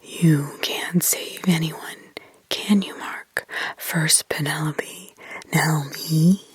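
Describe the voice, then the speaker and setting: A woman's voice: sits low, fairly even intonation, drawn-out words, breathy edge, dry recording, voice pushed down, exaggerated voice. A woman voicing a possessed little girl who sounds both childlike and demonic, whispering with menace. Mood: menacing. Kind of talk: whispered horror story reading.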